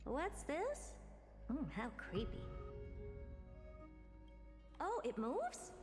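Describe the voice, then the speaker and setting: A woman's voice: high voice, wildly swooping pitch, very slow, exaggerated voice. A woman voice-acting a haughty, imperious, self-assured genius explorer in a video game, with theatrical, condescending delivery. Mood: impatient, disdainful. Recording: long reverb, game music behind.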